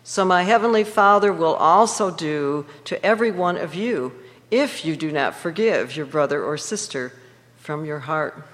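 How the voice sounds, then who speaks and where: A reader reading scripture in a measured, emphatic way. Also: mid-range voice, lively intonation, fairly bright tone, long reverb tail.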